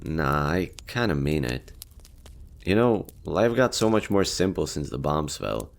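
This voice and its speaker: A man's voice: extremely low pitch, sing-song, measured pace, creaky, full character voice. A man acting as a lonely, easygoing survivor of a nuclear apocalypse in an audio roleplay, talking casually and candidly with some hesitation. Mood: casual, upbeat.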